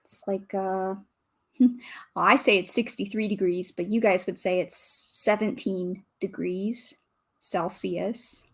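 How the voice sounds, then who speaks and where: A woman with a calm, soothing voice, chatting casually with viewers as a livestream host, greeting and thanking them as she goes. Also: medium pitch, takes it slowly. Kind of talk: casual livestream chat while building.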